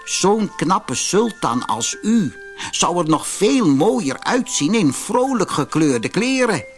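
A man's voice: high voice, big pitch swings, slow, soft background music, voice pushed up, heavily acted. A man voicing a sly, lazy, wheedling swindler in a storybook fairy tale. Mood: flattering. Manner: theatrical, wheedling. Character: sly, lazy, flattering male swindler and cloth dyer. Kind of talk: storybook character voice.

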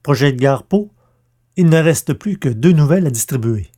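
A man's voice: on the high side, animated, moderate pace, mellow. A man reading scripted podcast announcements as host.

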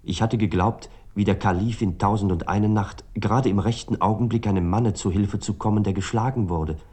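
An actor playing a reflective writer recounting a night of war in a literary radio drama, articulate and brooding. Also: male voice, lowish voice, fairly even intonation, a little fast, warm tone, husky. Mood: grave, reflective.

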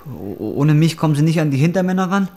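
A man's voice: fairly high pitch, sing-song, fast talker, warm tone. An actor playing a desperate, defensive young man in a crime drama, speaking bluntly and colloquially. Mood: urgent, insistent. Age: young adult. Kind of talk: acted dialogue in a crime drama. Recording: noisy ambient background.

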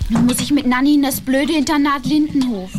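A woman's voice: sits high, steady tone, brisk, natural voice. An actress playing a sulky schoolgirl complaining in a German children's radio play from the 1970s. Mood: sulky, annoyed. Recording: noisy old tape recording.

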